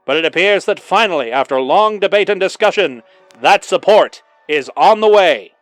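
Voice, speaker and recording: A man's voice: high-pitched, lively intonation, moderate pace. A man playing a rousing, patriotic 1940s wartime newsreel announcer, narrating in a dramatic, declamatory style over music. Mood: solemn, resolute.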